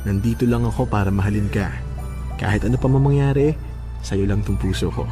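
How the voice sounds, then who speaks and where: A man's voice: fairly low pitch, fairly quick, mellow, soft background music, natural voice. A man playing a devoted, affectionate young husband in a Filipino radio drama, speaking reassuringly. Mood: loving.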